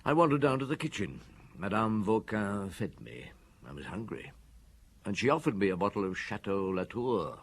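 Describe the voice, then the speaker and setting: A man playing a weary, guilt-ridden, methodical middle-aged police chief in a radio drama, with a husky, measured delivery. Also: on the low side, sing-song, heavily acted. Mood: weary, regretful. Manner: measured, reflective.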